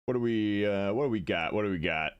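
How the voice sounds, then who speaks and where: A man talks casually over a live stream, excitable and loose, dropping fillers as he comments on what he watches. His voice sits a little low and comes through smooth and resonant. The sound is dry and close. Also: a little fast, dry recording, highs cut off. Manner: excitable, chatty, many fillers. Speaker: streamer reacting to videos.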